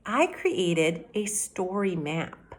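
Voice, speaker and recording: A middle-aged woman, a teacher giving a read-aloud mini lesson, explaining things directly to children in an animated way. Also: on the low side, extremely slow. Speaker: elementary teacher. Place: indoor room at home by a window.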